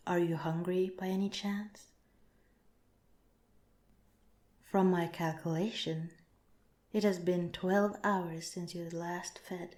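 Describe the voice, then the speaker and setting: A woman voicing a calm, clinical alien researcher in an ASMR roleplay, speaking softly with measured, formal politeness. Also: on the low side, natural voice. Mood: reassuring, formal. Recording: roomy, echoing sound.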